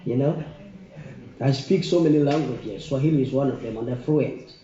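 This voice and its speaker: Ugandan man, very dark mellow voice, echoey room. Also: mid-range voice, normal speed. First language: Luganda. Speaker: community event speaker. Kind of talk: informal public speech. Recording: muffled, echoey room recording.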